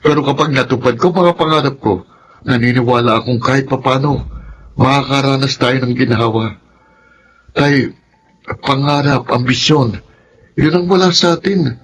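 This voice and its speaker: An actor playing an earnest, self-sacrificing young college student in a Filipino radio drama, speaking respectfully. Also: male voice, medium pitch, normal speed, long pauses, a bit bright, natural voice. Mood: impassioned pleading. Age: young adult. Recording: noisy radio drama track.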